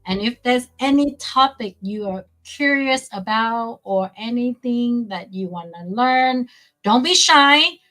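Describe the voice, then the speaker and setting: A middle-aged woman from California hosting a casual online interview, speaking slowly with many fillers and pauses. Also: a little high, animated, a bit bright, faint music behind. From California, USA. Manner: slow, halting speech with fillers. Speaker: host.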